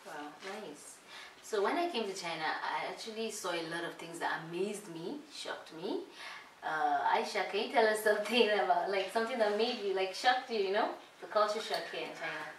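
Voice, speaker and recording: A woman's voice: mid-range voice, normal speed, few pauses, a little hiss or hum. A woman hosting a casual chat with friends, asking questions with a laugh. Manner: chatty, laughing interviewer. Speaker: host. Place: room with friends. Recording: echoey room, far from mic.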